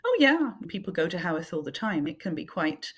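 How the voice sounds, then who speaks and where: A low-voiced Englishwoman with a husky, breathy edge. Her pitch swings widely as she chats eagerly about books and gardens. She speaks over a video call. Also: very animated, a little fast, a bit bright. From England, Yorkshire. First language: French. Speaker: author guest. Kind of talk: casual interview chat. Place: home in Yorkshire, remote call.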